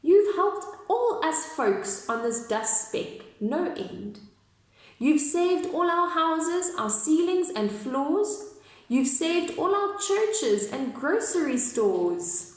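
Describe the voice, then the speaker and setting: A woman's voice: sits high, sing-song, slow, voice pushed up, heavily acted. Woman doing a tiny grateful town mayor. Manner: faint, grateful, polite. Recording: very echoey room.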